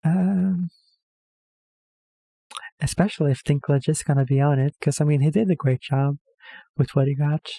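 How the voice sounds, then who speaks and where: Mellow-voiced man rambling. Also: on the high side, steady tone, normal speed, vocal fry, long pauses, no room sound. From Norway. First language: Norwegian. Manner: hesitant, rambling, self-correcting with many fillers. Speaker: reaction video host. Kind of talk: casual rambling commentary.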